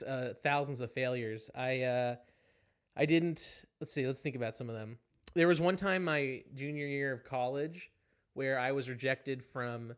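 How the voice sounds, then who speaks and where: A man's voice: mid-range voice, a bit bright. A young man hosting a podcast, chatting casually in a rambling, self-deprecating way with lots of fillers. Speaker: podcast host.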